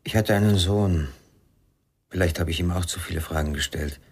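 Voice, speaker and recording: A weary father with a low, husky voice. He speaks in short, reflective, halting phrases, troubled and searching, in the style of a radio crime play. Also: darkish tone. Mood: regretful, reflective. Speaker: radio drama actor. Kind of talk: radio crime drama acting.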